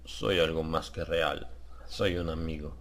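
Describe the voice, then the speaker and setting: A man voicing a mysterious, calm stranger in a horror audiobook, reassuring with a hint of command. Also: rumbling low, measured pace, voice pushed down, full character voice. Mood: calm, enigmatic.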